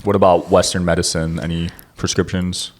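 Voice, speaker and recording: A man's voice: sits low, level tone, brisk. A man in his twenties hosting a casual interview, laid-back, giving short reactions and questions. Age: 20s. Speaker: podcast host.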